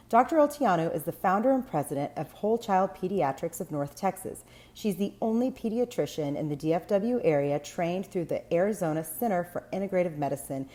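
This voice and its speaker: Woman host with a slightly low voice, chatty and friendly, asks interview questions. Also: mellow. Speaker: host and health coach. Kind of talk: podcast intro and interview.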